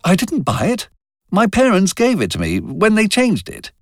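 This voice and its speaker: A man voicing a sharp-tongued young professional woman who speaks in a crisp, dismissive way. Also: high-pitched, wildly swooping pitch, normal speed, no echo, studio quality, faint music behind, voice pushed up, heavily acted. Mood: defensive.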